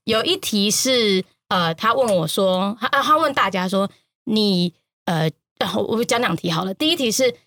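A woman's voice: mid-range voice, animated, moderate pace, a bit bright, no room sound, clean studio sound. A woman with a Taiwanese Mandarin accent telling stories casually as a podcast guest. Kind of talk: casual, animated storytelling in conversation.

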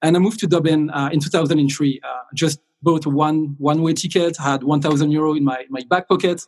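Husky Frenchman giving interview answers. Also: fairly high pitch, fairly even intonation, fairly quick. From south of France. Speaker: sales director, podcast guest.

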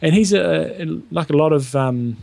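A man co-hosting a podcast talks in a relaxed, gravelly voice. His recording is dry and close, with no room sound. He chats casually and reads a sponsor spot. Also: on the high side, unhurried, dry recording. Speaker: podcast co-host. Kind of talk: casual chat and sponsor read.

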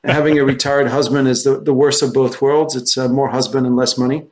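A middle-aged man from France, a guest answering interview questions in a relaxed way, often restarting his sentences. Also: mid-range voice, a little fast, rough recording. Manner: relaxed, with frequent restarts and fillers. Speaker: guest, research firm founder.

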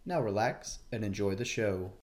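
A man's voice: lowish voice, expressive, normal speed. A man hosting a podcast, introducing his guest in an upbeat, enthusiastic, casual way. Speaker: podcast host.

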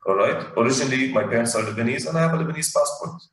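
A man's voice: medium pitch, rapid-fire, a bit bright, very echoey, far from the mic. A young man from Abu Dhabi, a guest in a casual talk, thinking aloud and repeating words as he goes. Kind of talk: casual conversational interview.

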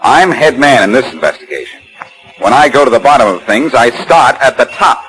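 Actor as lively wisecracking 1940s radio detective. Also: male voice, medium pitch, a little fast, close to an everyday voice, low-quality recording. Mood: determined. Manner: flippant, sarcastic. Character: wisecracking, cocky amateur detective, quick and unflappable. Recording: old 1940s radio recording with music.

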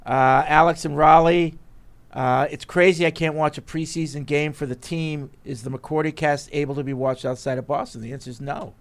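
A man's voice: fairly high pitch, steady tone, moderate pace. A man from New Jersey hosting a casual sports radio show, reading listener emails and bantering with his co-host. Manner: reads listener emails aloud, playful back-and-forth.

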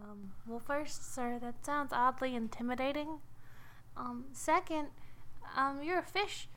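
A woman's voice: sits high, unhurried, a bit bright. A woman playing a polite, skeptical young girl in an improvised story, hesitant and questioning. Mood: wary, skeptical. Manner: hesitant, questioning, matter-of-fact.

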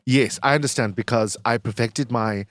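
A man co-hosting a podcast, trading jokes in quick, playful banter. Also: medium pitch, a bit bright, no echo, studio quality. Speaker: podcast co-host. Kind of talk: casual banter.

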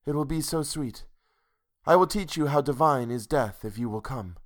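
A man's voice: mid-range voice, moderate pace, pitched above the performer's own voice, close to an everyday voice. Man voicing a dreamy, wistful young woman in love. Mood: tender, dreamy. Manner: timid, sighing, wistful. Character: dreamy, frail young woman in love, foretelling her death. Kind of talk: literary audiobook character dialogue.

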